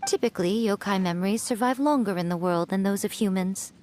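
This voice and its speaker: A woman's voice: mid-range voice, fairly quick, darkish tone, a little hiss or hum, natural voice. A woman voicing a sly, poised fox-spirit shrine priestess in a video game, lightly teasing. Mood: explaining.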